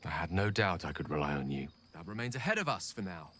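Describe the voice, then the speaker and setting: Weary wizard, lowish voice, eloquent, formal, reflective, fantasy game dialogue. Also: male voice, big pitch swings, fast talker, a bit bright, slight background noise. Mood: grave, resolved. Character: weary, eloquent male wizard facing his death. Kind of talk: video game dialogue acting.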